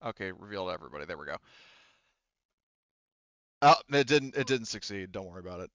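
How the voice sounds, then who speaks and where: A man's voice: sits low, big pitch swings, fast talker, fairly bright tone, no room sound, muffled recording. A man acting as game master, narrating a tabletop game casually and with frequent swearing. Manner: casual, profane.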